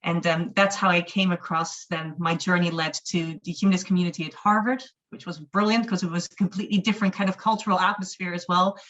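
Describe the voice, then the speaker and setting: A woman's voice: lowish voice, fairly even intonation, fast talker, hardly any pauses, a bit bright. A Dutch-born humanist chaplain with a mixed, Irish-sounding accent, explaining her field earnestly in a webinar interview, with frequent ums and restarts. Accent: mixed Dutch, with an Irish-sounding lilt. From Netherlands. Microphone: video call. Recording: dull lo-fi video call audio.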